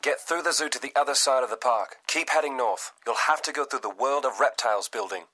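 A man's voice: medium pitch, brisk, pushed and bright, slight background noise, lightly acted. Actor as dry, unflappable male guide. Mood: calm, advising. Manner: dry, teasing understatement. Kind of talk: video game cutscene acting.

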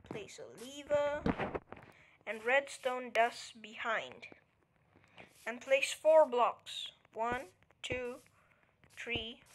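Slow, high-voiced woman explaining steps. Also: fairly bright tone, a little hiss or hum, rough recording. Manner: counts steps aloud, checks in with "alright?". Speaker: tutorial creator. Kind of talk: casual game tutorial walkthrough.

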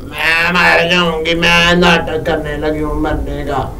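A man's voice: fairly high pitch, level tone, takes it slowly, sharp tone, exaggerated voice. A man voicing a talkative, whiny female cow in a comic puppet show, protesting and repeating herself. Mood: whiny, complaining. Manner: repetitive, protesting. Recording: distant, echoey studio with clear noise.

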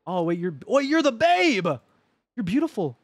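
Young male streamer, high-pitched, swings all over, loud and sweary, headset mic. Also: moderate pace, dry recording. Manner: loud, swearing, joking, animated. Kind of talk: casual gameplay commentary with chat. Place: home gaming room, indoors.

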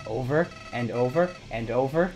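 A woman's voice: rumbling low, normal speed, darkish tone, soft background music. A woman voicing Flowey, a sneering evil flower. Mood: menacing. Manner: gloating, menacing, cackling. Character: sneering evil talking flower, small male villain.

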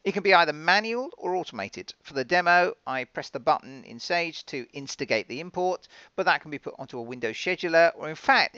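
Male software presenter, very creaky yet bright voice, informal and explanatory, dull lo-fi recording. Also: on the high side, no room sound. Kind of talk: conversational software demo narration.

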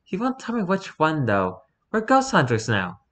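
Man as young nomad girl, brash, teasing, lightly mocking, raised pitch, bright edge, audiobook character. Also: huge pitch swings, moderate pace, exaggerated voice. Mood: light, amused.

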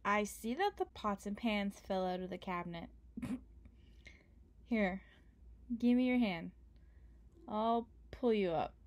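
Slow, playful young woman. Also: mid-range voice, expressive, a little mellow. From Canada. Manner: playful, affectionate, teasing. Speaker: ASMR performer. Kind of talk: ASMR roleplay as a partner.

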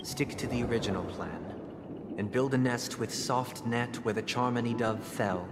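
Calm formal villain from a game, super low voice, reverb tail, music under it. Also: male voice. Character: calm solemn male game villain, measured.

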